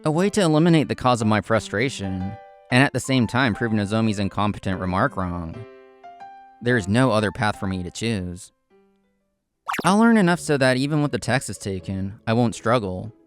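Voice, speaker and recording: A man's voice: on the low side, expressive, moderate pace, soft background music, close to an everyday voice. A man playing a proud, competitive young consultant in a manga drama, intense and curt, narrating and speaking his lines. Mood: determined. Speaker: narrator and main character.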